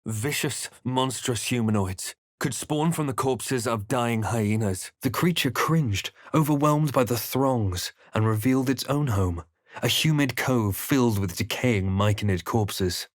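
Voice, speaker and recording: Male video game hero, acted by a man: mid-pitched, introspective, watchful, deliberate delivery, close dry recording. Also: dry recording, close to an everyday voice. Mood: grave, brooding. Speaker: video game player character. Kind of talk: scripted narration and character lines for a fantasy game.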